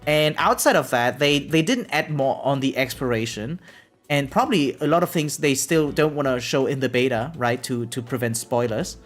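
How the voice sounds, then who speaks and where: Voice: medium pitch, normal speed. A game streamer chatting casually and thinking aloud in a rambling way, often checking in with a questioning tag. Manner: rambling, repeatedly tags sentences with "right?". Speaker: variety game live streamer. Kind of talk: casual live stream chat, thinking aloud while gaming. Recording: music playing behind the voice. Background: background music.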